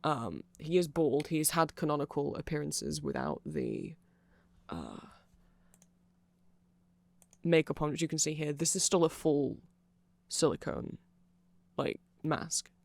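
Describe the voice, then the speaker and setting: A man's voice: a little high, very animated, slow pace, vocal fry, long pauses, darkish tone, no echo. A man giving a rambling ASMR explainer, often correcting himself as he goes. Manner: rambling, self-correcting, chuckling asides. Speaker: ASMR creator explaining band lore.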